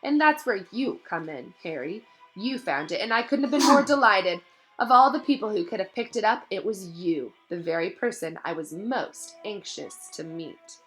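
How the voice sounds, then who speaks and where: A woman voicing a cold, scheming young man who talks in a smooth, mocking, gloating way. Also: medium pitch, expressive, moderate pace, fairly bright tone, soft background music, lightly acted, poor audio.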